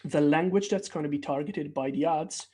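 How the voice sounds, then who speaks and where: Italian-native guy explaining api updates, kinda husky and mellow, lots of uhs. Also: fairly high pitch, moderate pace. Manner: conversational, many fillers and restarts. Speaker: developer relations presenter. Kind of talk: technical product update talk.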